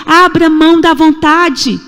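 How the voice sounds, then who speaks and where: A woman's voice: very high voice, fairly even intonation, slow pace, fairly bright tone. A Brazilian woman in her forties preaching a sermon in a church, emphatic and repetitive, with rhetorical questions. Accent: Brazilian Portuguese. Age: 40s. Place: church sanctuary. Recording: echoey church hall.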